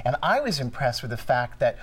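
A man talking as a guest reviewer, measured and appreciative. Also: medium pitch, very animated, fairly bright tone, breathy edge. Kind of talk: casual chat.

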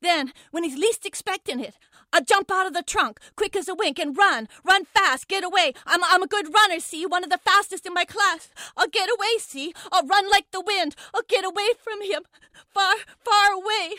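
A woman's voice: extremely high pitch, normal speed, sharp tone, dry recording, studio quality. A woman playing a scared but defiant young schoolgirl in a dramatic monologue, stammering and repeating herself with foul-mouthed bravado. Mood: excited. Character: frightened, defiant young schoolgirl trapped in a car trunk. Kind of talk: dramatic first-person monologue.

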